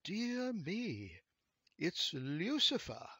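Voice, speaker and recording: Man doing a pompous, sniffy gentleman, pitched up. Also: sing-song, a little slow, gravelly, breathy edge, no room sound, exaggerated voice. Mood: astonished. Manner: pompous, wordy, over-precise. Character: long-nosed, proud, sniffy gentleman with pompous airs.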